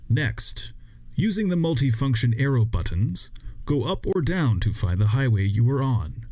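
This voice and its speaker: A man reading a scripted instructional narration in a measured, step-by-step way as a training video narrator. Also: medium pitch, big pitch swings.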